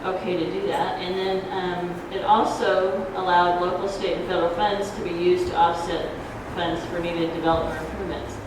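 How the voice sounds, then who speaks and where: A soft-voiced woman giving an informal explanatory talk at a public forum, speaking in a halting, hesitant way. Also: lowish voice, flat delivery. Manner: halting, hesitant, loses her thread. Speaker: ballot measure proponent, debate speaker. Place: community grange hall. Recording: echoey hall, distant noisy microphone.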